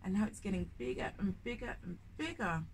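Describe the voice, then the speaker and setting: A woman in her thirties reading a picture book aloud to young children, with expressive drawn-out emphasis and questions put to the listener. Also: mid-range voice, slight background noise. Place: indoors in a bookshop, in front of shelves.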